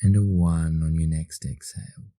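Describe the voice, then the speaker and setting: Australian man, meditation instructor. Deep, very soft dark tone, soothing delivery with long pauses. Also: fairly even intonation, no echo, clean studio sound. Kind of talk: guided meditation narration.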